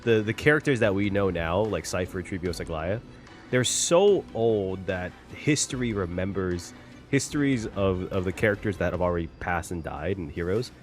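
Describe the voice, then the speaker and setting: A man giving casual reaction commentary while gaming, rambling, repeating phrases and swearing casually. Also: low-pitched. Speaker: gaming content creator.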